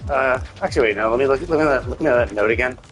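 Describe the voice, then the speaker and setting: A man's voice: medium pitch, racing, soft background music. A man giving rambling, critical live commentary as he plays, repeating himself for emphasis. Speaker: game streamer. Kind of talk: casual live game commentary. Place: live stream, game music behind.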